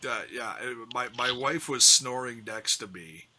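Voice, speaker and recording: A man co-hosts a wrestling podcast in a casual, conversational style. His medium-pitched voice is strikingly bright and forceful, with a hard, sharp edge. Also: unhurried. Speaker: podcast co-host. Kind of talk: casual chat about wrestling.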